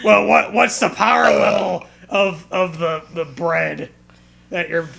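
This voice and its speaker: A man's voice: sits high, takes it slowly, gravelly, fairly bright tone. A man giving a comedic, mock-dramatic reading aloud as co-host, with profane banter.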